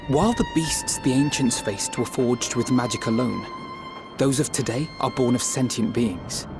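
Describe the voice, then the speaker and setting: An actor playing a thoughtful scholar who reasons through a theory in a measured, analytical way in a game cutscene. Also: male voice, mid-range voice. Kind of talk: video game cutscene acting. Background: orchestral music.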